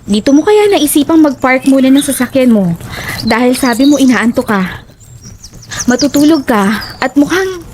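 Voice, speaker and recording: A chatty young female friend played by a woman in an audio horror drama, with a high voice. She sounds inquisitive and a little fretful, speaking conversationally over clear background noise. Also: expressive, normal speed, long pauses, darkish tone. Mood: concerned. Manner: inquisitive, everyday conversational delivery.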